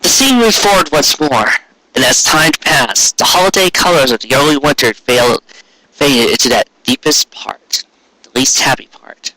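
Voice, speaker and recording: A man narrating scripted fiction as a storyteller, with an expressive, dramatic and florid delivery. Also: fairly high pitch, moderate pace, no room sound, low-quality recording.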